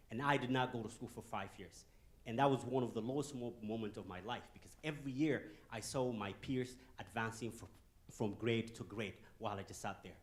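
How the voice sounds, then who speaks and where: A man from Ethiopia, giving a joking, animated motivational talk to a youth audience in a conference hall and throwing questions at the crowd. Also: mid-range voice. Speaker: guest speaker.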